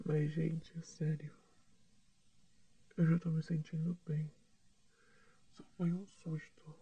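A tender middle-aged father reassures his daughter in a hushed, breathy voice, as a woman puts him on for a fan audio drama. He speaks slowly and calmly, trying to soothe her. The delivery sits a little low and unhurried. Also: far from the mic, exaggerated voice. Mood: reassuring. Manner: soft, reassuring, half-whispered. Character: gentle middle-aged father recovering in hospital.

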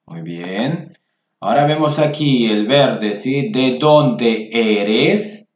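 A man's voice: medium pitch, expressive, extremely slow. A man teaching Spanish, reading workbook exercises aloud and patiently repeating phrases for learners. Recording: roomy, dull lo-fi sound.

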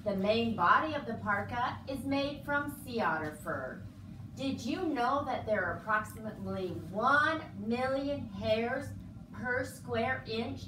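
A woman's voice: fairly high pitch, far from the mic. Woman performing cheerful proud puppet woman, exclamatory, educational show, roomy sound, faint noise. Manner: exclamatory, addresses the audience with questions. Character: proud, cheerful Chugach Sugpiaq woman puppet in fur parka. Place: indoor room, puppet stage.

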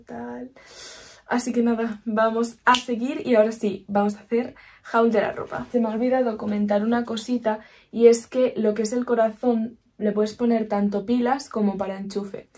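A woman's voice: fairly high pitch, a little mellow. A woman in her twenties with a Spanish accent from Spain, chatting casually through a shopping haul in a small bedroom, upbeat and full of asides. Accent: Peninsular Spanish. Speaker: vlogger.

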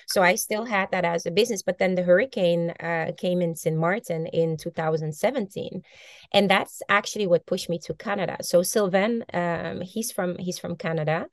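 A woman in her forties, a native Dutch speaker, telling her story with animated gestures over a video call from a bright room at home. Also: on the low side, moderate pace, no room sound. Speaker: guest, mindset coach. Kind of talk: casual video-call interview. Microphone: video call laptop mic.

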